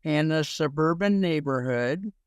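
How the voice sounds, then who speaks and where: A man's voice: on the high side, lively intonation, a little slow, clean tone, dry recording, clean studio sound. A man hosting an informal interview, jokey and rambling, with a habit of repeating himself. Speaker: show host interviewing a guest.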